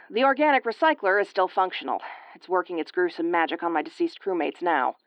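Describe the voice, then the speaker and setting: A woman's voice: lowish voice, a little fast, no room sound, close to an everyday voice. A woman playing an injured, sarcastic starship first officer in an audio roleplay, with a wry, exasperated tomboyish delivery. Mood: weary, rueful. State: woozy and battered. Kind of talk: audio roleplay acting, recorded log monologue.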